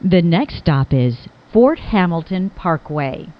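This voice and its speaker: Lofi subway announcer lady, really slow n formal, clear words, muffled recording. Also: fairly low pitch, big pitch swings. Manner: measured, clearly enunciated, formal. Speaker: automated subway train announcer. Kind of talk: scripted transit announcement. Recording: dull lo-fi recorded train announcement.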